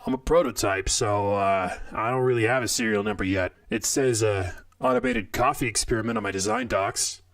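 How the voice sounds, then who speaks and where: A man playing a blunt, bulky robot coffee maker in an audio drama, grumbling with deadpan sarcasm. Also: on the low side, moderate pace, gravelly. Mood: gruff, casual.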